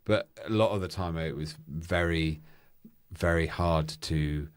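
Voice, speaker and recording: A loud, exuberant and flamboyant man, the podcast's co-host, talking candidly in casual chat on a studio mic. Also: a bass voice, unhurried, smooth, no echo.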